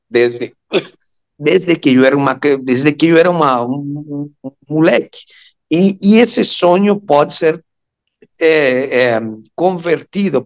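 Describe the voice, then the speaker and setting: A Venezuelan man with a Spanish accent giving interview answers, haltingly searching for words in his second language. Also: on the high side, creaky. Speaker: interview guest, amateur radio operator.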